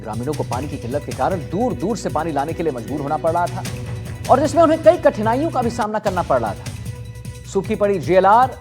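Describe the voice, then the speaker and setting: A man's voice: high-pitched, sing-song, brisk, music in the background. A man anchoring live TV news in an emphatic, repetitive way.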